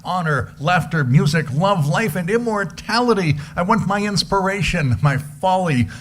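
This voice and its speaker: A man giving a dramatic, declamatory reading of a love letter, phrase by phrase. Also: on the high side. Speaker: amateur reader on stage.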